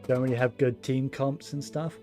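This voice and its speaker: A man chatting casually on a game livestream, rambling and thinking aloud in a low-key way. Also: fairly even intonation, normal speed, darkish tone. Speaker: game streamer. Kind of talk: casual livestream chat over gameplay. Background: game music.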